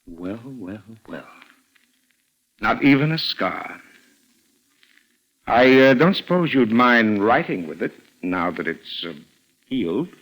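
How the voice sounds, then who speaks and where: A menacing middle-aged stepfather speaks in a fairly low, expressive voice. He is sly and falsely genial, probing with measured pauses in the manner of 1940s radio drama. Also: husky, exaggerated voice, rough recording. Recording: vintage 1940s radio recording.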